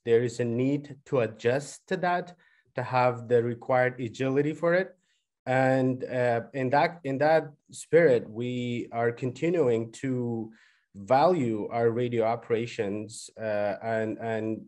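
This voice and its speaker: A man, a guest on a webinar video call, explaining in a measured way with many fillers and restarts. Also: mid-range voice, darkish tone. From Afghanistan. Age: 30s. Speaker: guest, head of a news service. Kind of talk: webinar panel answer. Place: indoors on a video call, virtual background. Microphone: webcam video call.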